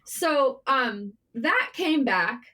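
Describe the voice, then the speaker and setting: A woman speaking as a writer guest in a casual, candid interview, informal and animated in manner. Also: high-pitched, drawn-out words. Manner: animated, informal, swearing, self-deprecating.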